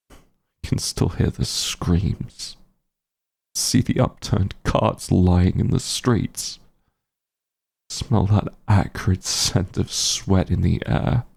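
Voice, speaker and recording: A very low, husky male voice acted by a man for a grim fantasy werewolf hunter in an audio roleplay. He is cold and contemptuous, with bitter grief underneath. The delivery is slow and deliberate, full of menace. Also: darkish tone, heavily acted. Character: bitter, menacing werewolf hunter grieving his missing friend. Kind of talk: scripted ASMR roleplay, slow dramatic monologue.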